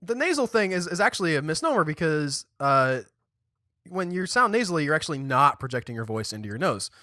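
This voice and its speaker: Lively, bright-voiced man podcast host. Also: on the high side, brisk, no room sound, clean studio sound. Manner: chatty, animated, restarts and stumbles mid-sentence. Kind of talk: casual chat.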